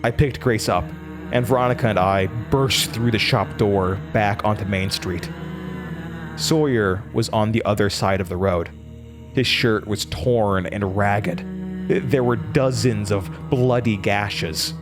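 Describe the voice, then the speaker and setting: A man's voice: on the low side, a little slow, lightly acted. A man playing a shaken young college student, narrating a horror audio drama in a dramatic, haunted way that stammers under stress. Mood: tense. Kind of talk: horror audio drama first-person narration, acting out dialogue. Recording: music bed under the voice. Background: music.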